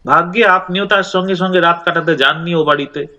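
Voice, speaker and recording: A man voicing a reproachful local gentleman in a horror story, speaking emphatically with drawn-out stresses. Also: a little high, voice pushed up. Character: Bhabatosh Majumdar, scolding local gentleman telling of a grim death.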